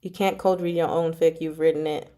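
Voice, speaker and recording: Woman, livestream host, slightly low pitch, casual chat, informal, giggly, answering viewer comments. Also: steady tone, brisk, mellow. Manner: giggly, informal, chatty asides. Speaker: livestream host reading chapters aloud and chatting with viewers.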